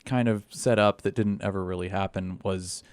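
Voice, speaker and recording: A man's voice: low-pitched, fairly even intonation, moderate pace, darkish tone, clean tone, no room sound. A man chatting casually on a panel as a cast member.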